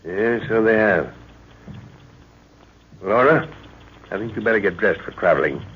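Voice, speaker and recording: An actor playing a seasoned expedition leader and father in an old radio drama, speaking with deliberate authority. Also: fairly low pitch, animated, slightly rough. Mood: brisk, fatherly. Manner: authoritative, deliberate, thoughtful hums. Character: seasoned, commanding expedition leader and father, middle-aged or older. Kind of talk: 1940s radio acting. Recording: noisy, dull old radio recording.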